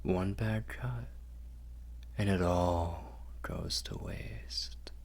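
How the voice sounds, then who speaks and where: A man's voice: sits low, very slow, creaky, breathy edge, heavily acted. A man playing an ancient, possessive and doting vampire lord in an intimate ASMR roleplay, murmuring formally and drifting into whispers. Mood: brooding, tender.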